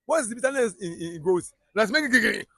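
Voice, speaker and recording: A Nigerian man with a Yoruba accent delivers heated political commentary in a high voice. He talks emphatically, repeating words and restarting sentences. The sound is close and dry. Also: expressive, fast talker, creaky, sharp tone, slightly rough, no echo. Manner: emphatic, repetitive, stumbles and restarts often.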